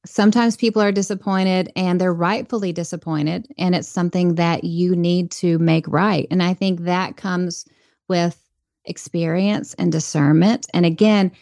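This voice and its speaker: A woman's voice: fairly low pitch, fairly even intonation, unhurried, a little mellow, no room sound, studio quality. A woman guest on a podcast interview, talking earnestly and reflectively about faith and leadership. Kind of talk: conversational interview.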